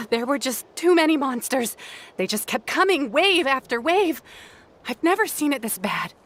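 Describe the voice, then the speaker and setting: A woman's voice: high voice, big pitch swings, moderate pace, fairly bright tone, slight background noise. A woman playing a worried, dutiful young supply carrier in a video game, earnest and weary. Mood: weary, shaken. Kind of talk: English video game voice acting.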